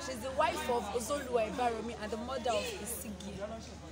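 A woman's voice: a little high, a little fast. A young woman, a stage actor, answering interview questions outdoors. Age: young adult. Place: open outdoor yard among crowd. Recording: loud crowd chatter outdoors.

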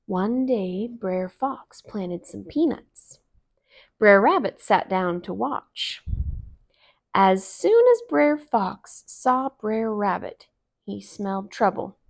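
Slow, expressive woman reading a storybook. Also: medium pitch, gravelly. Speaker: storybook reader. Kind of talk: children's read-aloud narration. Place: small living room, indoors on a couch.

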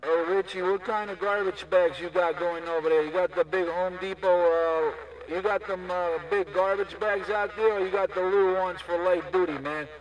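High-voiced man chattering on CB, off-mic. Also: fairly even intonation, moderate pace, hardly any pauses, distant mic, heavy background noise. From Newark, New Jersey, USA. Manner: repeats catchphrases over and over. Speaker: CB radio operator. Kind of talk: casual CB radio chatter in slang.

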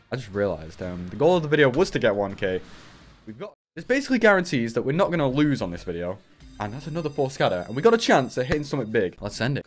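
A young man, hoarse from a cold, giving live commentary on a slots stream through a headset and jumping up loudly at big wins. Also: mid-range voice. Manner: shouts and rises sharply at big wins. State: raspy from a cold.

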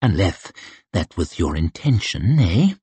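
A man voicing a bitter, crippled male torturer who talks in a low, quiet, cutting way. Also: very animated, a little slow, husky, no echo, heavily acted. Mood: menacing.

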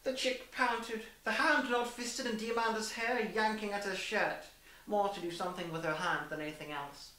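A young woman giving a deliberate, theatrical dramatised reading of fan fiction, with emphatic character dialogue. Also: mid-range voice, steady tone, bright, forceful tone. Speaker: reader and host in costume. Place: small plain room indoors. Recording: roomy echo, distant camera microphone.

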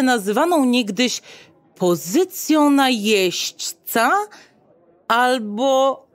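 An actress playing a brisk, officious receptionist at a futuristic state clinic, speaking in formal, bureaucratic sales patter in an audio drama. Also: female voice, fairly high pitch, dry recording. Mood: puzzled, amused. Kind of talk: Polish audio drama acting.

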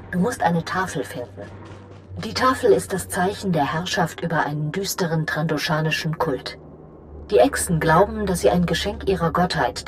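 A woman playing a scheming, imperious female Sith lord in a German game dub, commanding and deliberate. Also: lowish voice, slight background noise, natural voice.

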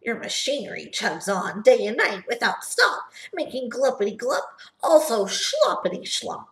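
A woman voicing a small, grumpy old male forest guardian who scolds in a croaky, querulous voice and keeps coughing. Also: sits high, very animated, slow, fairly bright tone, voice pushed up, heavily acted. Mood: indignant. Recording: echoey room.

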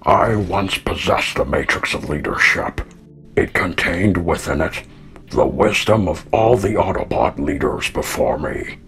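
Old heroic robot leader, extremely deep, gravelly, solemn, weary, portentous, cartoon fan dub. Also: male voice, expressive, unhurried, a bit bright, faint music behind, heavily acted. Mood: solemn, foreboding. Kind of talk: animated fan dub acting. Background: musical score.

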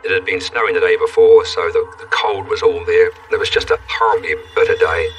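A man's voice: low-pitched, a little fast. A man who survived a plane crash, telling his story in a plain, reflective way in a documentary interview. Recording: distant mic with music.

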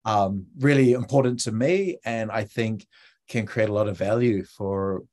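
A man's voice: lowish voice, measured pace, mellow. A man with a New Zealand accent giving an informal talk as a guest speaker over a video call, with hesitant, conversational phrasing. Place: indoor room on a video call.

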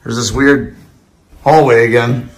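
A man giving casual, halting, rambling vlog commentary as host of a walking tour. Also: mid-range voice, steady tone. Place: walking through empty new houses and outside. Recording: handheld camera with clear background noise.

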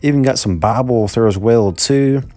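A man's voice: mid-range voice, normal speed, mellow, no room sound. Man rambling through a shop. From Mississippi, USA. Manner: rambling, repeats words, upbeat. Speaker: vlogger. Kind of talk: casual walk-through vlog. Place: large indoor store. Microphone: handheld phone.

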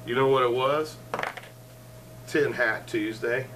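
A man's voice: mid-range voice, steady tone, slow. Middle-aged man rambling from across the room. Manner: joking, meandering, with frequent asides. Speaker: show host. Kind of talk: rambling casual chat. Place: cluttered living room, indoors. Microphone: fixed camera across the room. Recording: distant camera mic, faint room noise.

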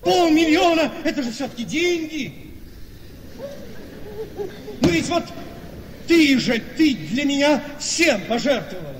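An actor playing a vain, scheming gentleman husband in a radio play, speaking persuasively and emphatically with weighty pauses. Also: very high-pitched. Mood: agitated, persuading. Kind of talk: theatrical radio play acting. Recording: noisy, long echoing hall reverb.

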